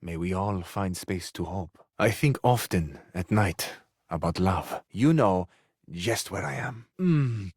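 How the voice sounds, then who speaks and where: Poetic, yearning Italian lover, close-miked. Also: male voice, low voice, expressive, slow, darkish tone, slightly rough, no echo, exaggerated voice. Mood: yearning, flirtatious. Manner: effusive, poetic, courtly, tenderly flirtatious. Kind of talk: video game character acting, romantic dialogue.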